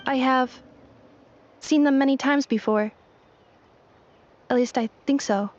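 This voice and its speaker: A woman voicing a calm, analytical robotic girl in an anime game, speaking in a formal, clipped, machine-like manner. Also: sits high, slow pace, slight background noise. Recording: slightly dull game capture with music.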